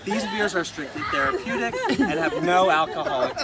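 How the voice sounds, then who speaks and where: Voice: medium pitch, lively intonation, normal speed. Someone reading a humorous letter aloud to family, amused and often breaking into laughter. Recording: loud crowd chatter, outdoor family gathering. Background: people chatting and laughing.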